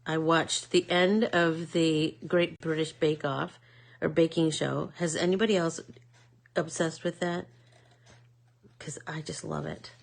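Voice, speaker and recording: A woman's voice: low-pitched, fairly even intonation, measured pace, gravelly. A woman with a Midwest accent hosting a live art class, chatting casually and rambling with lots of fillers. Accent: American Midwest. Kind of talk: casual chat while painting.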